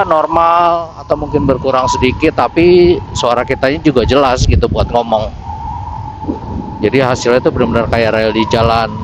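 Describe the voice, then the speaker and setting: A man with a Jakarta Indonesian accent chatting casually and slangily to viewers, thinking aloud as he rides a motorcycle, on a close helmet intercom mic. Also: medium pitch. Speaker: motorcycle vlogger. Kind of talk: casual chat to viewers while riding. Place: outdoors on a motorcycle in city streets. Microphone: intercom mic a finger from mouth, inside helmet. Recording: helmet intercom mic, clear vehicle noise. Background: traffic and engine noise.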